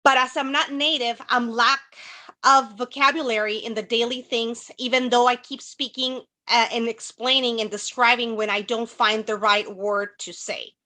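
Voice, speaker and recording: High, bright woman. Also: steady tone, normal speed. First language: Spanish. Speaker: co-host, social media manager. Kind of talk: casual advice discussion, reading questions.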